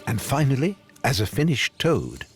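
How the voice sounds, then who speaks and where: A man narrating a scripted nature and folklore documentary, telling it in a measured, dramatic storyteller's way. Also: mid-range voice, wildly swooping pitch. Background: clear background music.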